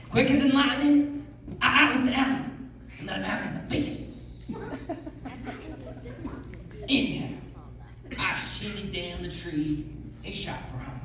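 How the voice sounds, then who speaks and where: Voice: medium pitch, vocal fry. An actor playing a brash, boastful old teller of tall tales in a readers theatre show, theatrical and indignant when doubted. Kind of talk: readers theatre storytelling on stage. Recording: echoey room from far off, noisy.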